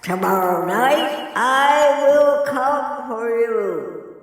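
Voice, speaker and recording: Slow, echoing, menacing goblin queen played by a woman. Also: fairly high pitch, expressive. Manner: slow, grand, threatening delivery. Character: menacing, imperious Queen of the Goblins, monstrous villain. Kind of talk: dramatic storybook reading. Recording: echoey hall with long reverb, distant.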